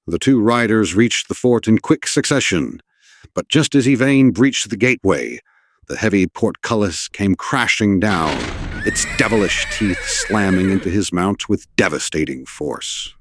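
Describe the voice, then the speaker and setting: A man's voice: fairly low pitch, animated, unhurried, no echo. A man narrating a scripted legend as a dramatic storyteller, in a formal, literary and theatrical manner. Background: orchestral score.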